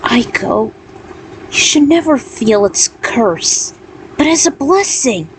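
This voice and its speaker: A woman's voice: fairly high pitch, expressive, slow, pitched above the performer's own voice. A woman voicing a tender, devoted male warrior in a story, earnestly consoling his beloved. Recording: clearly noisy background.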